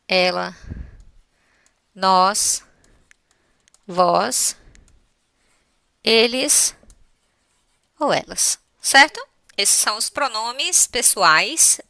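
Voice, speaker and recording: Creaky-voiced Brazilian woman teaching grammar. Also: medium pitch, pushed and bright, dry recording, studio quality. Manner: didactic, conversational, spells points out step by step. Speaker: English teacher. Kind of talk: grammar lesson with examples and check-in questions.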